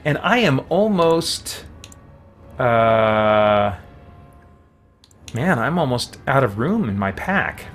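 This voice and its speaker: A man's voice: mid-range voice, big pitch swings, slow pace, long pauses, soft background music. A man doing casual game livestream commentary, thinking aloud and muttering short remarks to himself as he chats to viewers.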